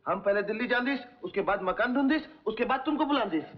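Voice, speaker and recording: A man's voice: very high voice, lively intonation, fast talker. A man playing a naive, loyal comic servant who speaks in broken dialect with odd verb endings. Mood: earnest, comic. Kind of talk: old Hindi film comedy acting. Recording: dull lo-fi old film soundtrack.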